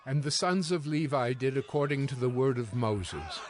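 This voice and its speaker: A man narrating a dramatized scripture story in a solemn, measured storytelling manner. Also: medium pitch, noisy background. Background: music.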